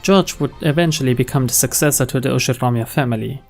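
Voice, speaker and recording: A narrator reads a visual novel's story text from a script. The voice sits at a medium pitch, with music playing behind it. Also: fast talker. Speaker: narrator reading the game's translated text. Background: background music.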